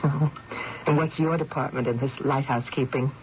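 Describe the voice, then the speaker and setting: An actress playing an estranged, composed, concerned wife, telling her story in deliberate, dramatic radio style. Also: very deep voice, fairly even intonation, slight background noise. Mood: concerned, warm. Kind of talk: radio drama acting and first-person narration. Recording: dull old radio recording with loud music.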